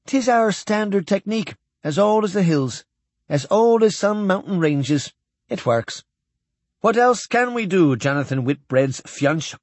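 A man puts on a courteous alien with a Scottish lilt. The pitch swoops widely and the tone is a little husky, formal and dutiful, as in a space novel read aloud. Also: animated, measured pace, dry recording. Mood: matter-of-fact. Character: polite, dutiful alien mimicking a Scottish crewman.

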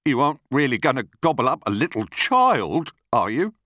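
High, cheeky monkey. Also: male voice, huge pitch swings, fairly quick, a bit bright, dry recording, clean studio sound. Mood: horrified. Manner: chirpy, informal, exclaiming. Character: small cheeky male monkey, chatty and excitable. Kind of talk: children's storybook character voice.

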